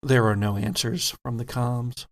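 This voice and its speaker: A man narrating a tabletop horror role-playing game as game master, setting scenes deliberately with pauses mid-phrase. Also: mid-range voice, fairly even intonation.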